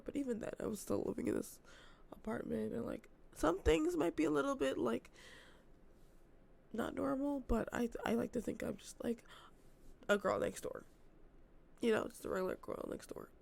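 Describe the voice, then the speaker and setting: Vlogger, young woman, hoarse with a very gravelly fry, chatty and rambling, off-the-cuff talk. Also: on the high side. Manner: rambling, filler-heavy, self-described yappy. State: losing her voice, hoarse. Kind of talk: casual unscripted vlog chat. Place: apartment.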